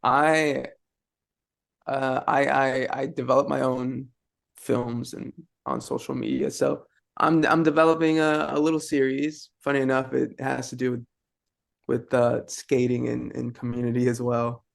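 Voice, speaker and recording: A man's voice: medium pitch, little pitch movement, unhurried, gravelly. A man chatting as a filmmaker guest in an interview, hesitant and often repeating words. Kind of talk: casual chat.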